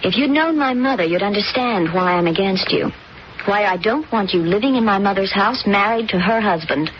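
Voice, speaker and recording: A woman's voice: mid-range voice, expressive, normal speed, lightly acted. A woman playing a cold, scheming young woman. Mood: scornful. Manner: sharp, domineering. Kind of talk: vintage radio drama acting. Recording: dull old radio recording with hiss.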